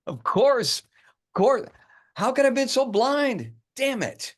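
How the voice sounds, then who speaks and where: A man playing a driven, rattled detective in a film script read-through, with halting speech and repeated words. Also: very high voice, very animated, heavily acted. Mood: elated. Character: driven, rattled male detective obsessed with his case. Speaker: actor at a script table read.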